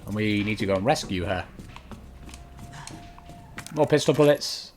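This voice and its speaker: A man's voice: on the low side, expressive, a little slow, a little mellow. A man giving casual video game walkthrough commentary, explaining each step as he goes. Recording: faint keyboard and click noise.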